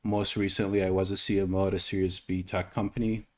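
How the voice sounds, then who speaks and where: A man from the United States hosting an interview, introducing himself and his guest in a brisk, polite, businesslike way. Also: deep voice, flat delivery. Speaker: host, interviewer.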